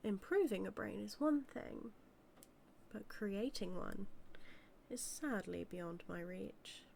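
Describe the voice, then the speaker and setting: A woman with a British accent playing a doting, villainous mad scientist in an audio roleplay, musing in a measured, condescending yet tender way. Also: mid-range voice, animated, creaky, darkish tone, a little hiss or hum, heavily acted. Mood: proud. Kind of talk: scripted audio roleplay monologue.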